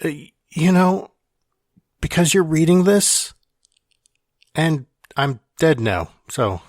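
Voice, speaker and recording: A man acts out a dying man delivering a farewell monologue for a fiction podcast. His voice sits a little high and he takes his time, wry and confessional. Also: big pitch swings, slow, no room sound, clean studio sound. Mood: earnest, reflective.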